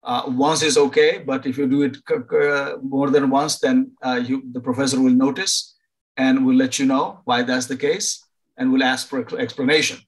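An older man from Nepal, a professor advising international students over a video call, pausing often to find words. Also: mid-range voice, flat delivery, rough recording. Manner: halting, many fillers and restarts. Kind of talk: informal online presentation.

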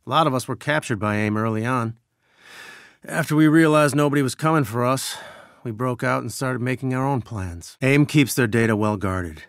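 Actor for male scientist rebel leader in a game: earnest, grave, measured, mid-pitched, cleanly dry recording. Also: dry recording, close to an everyday voice. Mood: grave, focused. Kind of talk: video game voice acting.

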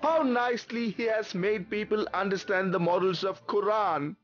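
A man's voice: sits high, normal speed, lo-fi sound, voice pushed up, close to an everyday voice. A man voicing earnest, deferential villagers in a mosque crowd for a children's cartoon. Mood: admiring.

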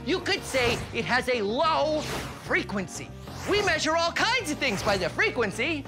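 A man's voice: very high-pitched, sing-song, normal speed, music in the background, voice pushed up. A man playing a quirky, nerdy shop salesman, explaining in a comic, theatrical and scatterbrained way for children's TV. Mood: eager, explaining. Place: cluttered shop set, indoors.

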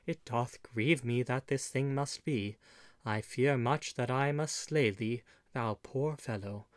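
A man voicing a soft-spoken, gentle young stranger in a storybook, languid and courteous with quiet menace. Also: medium pitch, measured pace, dry recording, studio quality, natural voice. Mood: sad menace. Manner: languid, courteous, archaic speech. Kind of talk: audiobook storybook character.

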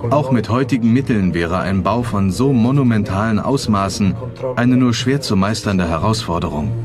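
A man's voice: lowish voice, lively intonation, moderate pace. A man giving expert commentary in a documentary, explanatory and matter-of-fact. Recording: music bed under the voice. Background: background music.

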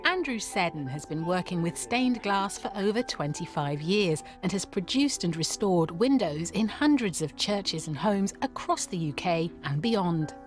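A woman reading scripted voice-over narration for a TV programme, smooth and even. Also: on the low side, unhurried, hardly any pauses. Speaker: TV presenter. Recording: faint music bed underneath.

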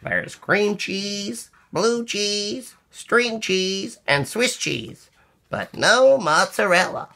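A man voicing a fussy, industrious female hen from a storybook, in an exaggerated singsong with drawn-out calls. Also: high voice, animated, creaky, pushed and bright, pitched above the performer's own voice, exaggerated voice. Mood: fussing.

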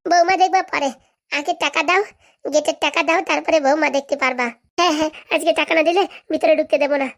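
A high-voiced, bossy village woman from a comic cartoon, played by a woman. She talks very quickly, scolding and scheming like someone set on getting her money. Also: steady tone. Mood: bossy demanding. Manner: scolding, demanding, haggling.

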